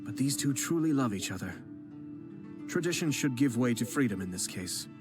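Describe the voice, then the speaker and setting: A man voicing a composed young noble clan head who speaks formally and in a measured way, with gentle teasing. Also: mid-range voice, animated, lightly acted. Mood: courteous calm. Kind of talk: game voice acting. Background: game music.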